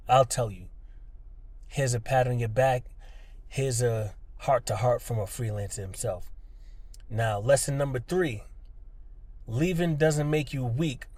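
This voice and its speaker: A man's voice: medium pitch, darkish tone, no room sound. A man hosting a motivational podcast in a conversational, earnest, plainspoken way, speaking directly and emphatically to the listener. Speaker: podcast host, freelancer.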